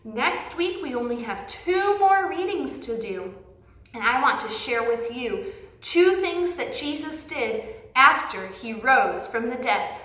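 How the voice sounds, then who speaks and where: A woman reading aloud and talking to young children in simple, emphatic, animated phrases, like a teacher telling a story. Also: sits high, slow pace. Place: indoors in an empty classroom. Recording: roomy, echoey classroom sound.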